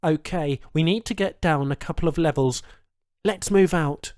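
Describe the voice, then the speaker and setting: A man's voice: fairly high pitch, normal speed, a little mellow, no room sound, studio quality, voice pushed up, natural voice. A man voicing a terse, wry escaped captive in a science fiction audiobook. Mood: brisk. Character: bald escaped male captive, ragged, terse, determined. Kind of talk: audiobook character dialogue.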